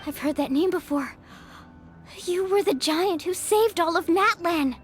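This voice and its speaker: High-pitched, girlish young heroine. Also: measured pace, faint music behind. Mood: awed. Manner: expressive, dramatic. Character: youthful heroine, expressive and earnest. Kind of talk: dramatic video game acting.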